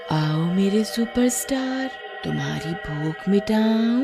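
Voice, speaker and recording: A woman's voice: mid-range voice, creaky, heavily acted. A woman voicing a gloating, cackling evil witch who taunts her victims in a horror cartoon. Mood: mocking glee. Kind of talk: Hindi horror-cartoon voice acting. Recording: music behind the voice.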